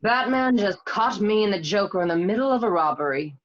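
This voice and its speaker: A woman's voice: mid-range voice, lively intonation, fairly quick, lightly acted. A woman voicing a sly female cat burglar in an amateur radio play, composed and demanding. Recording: dull lo-fi home recording.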